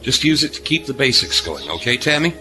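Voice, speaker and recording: An actor playing a gruff, weary former starship captain turned repairman in a sci-fi audio drama, dry, curt and sardonic. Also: medium pitch, big pitch swings, fairly quick, music in the background, close to an everyday voice. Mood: gruff, dismissive. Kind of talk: science fiction audio drama acting.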